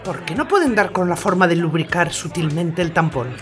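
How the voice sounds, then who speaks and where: A woman's voice: on the low side, lively intonation, normal speed, soft background music, lightly acted. A woman acting an angry, foul-mouthed monologue in a comic audio play, blunt and indignant. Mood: fed up, indignant.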